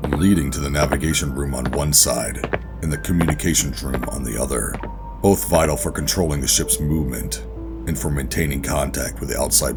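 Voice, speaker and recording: An actor playing a gruff, guarded covert operative who narrates a sci-fi horror audio drama in a brooding, deliberate way. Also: male voice, a bass voice. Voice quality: gravelly. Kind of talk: first-person scripted audio drama narration and dialogue.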